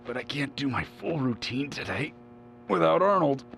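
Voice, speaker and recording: A blunt, proud gym-loving deputy. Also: male voice, mid-range voice, animated, moderate pace, vocal fry, a bit bright. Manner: blunt and proud, almost boastful. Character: proud, blunt male small-town deputy devoted to workouts. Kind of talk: video game cutscene voice acting. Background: game music.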